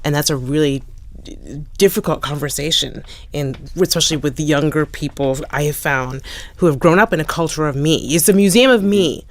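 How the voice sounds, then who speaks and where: A middle-aged woman, a playwright in a panel discussion, speaking haltingly and reflectively as she searches for words. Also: low voice. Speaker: playwright, interview guest.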